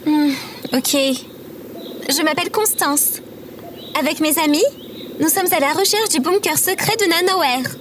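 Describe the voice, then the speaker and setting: A woman's voice: high-pitched, measured pace, pauses often, noisy background. A woman playing an earnest, reluctant young quester in an audio comedy, explaining things plainly. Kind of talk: audio fiction comedy acting. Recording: outdoor ambience with insects.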